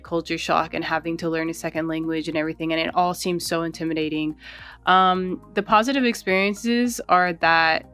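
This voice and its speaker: A woman chatting casually while she draws, relaxed and rambling, often saying "like" and "you know". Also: lowish voice, smooth. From United States. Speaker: art channel host. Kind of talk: casual chat while drawing.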